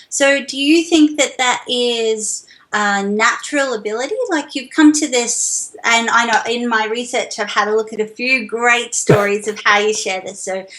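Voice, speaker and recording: Woman interviewer, fairly high pitch, eager and chatty, on a video call. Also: fairly bright tone. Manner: enthusiastic, chatty. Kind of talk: friendly interview questions.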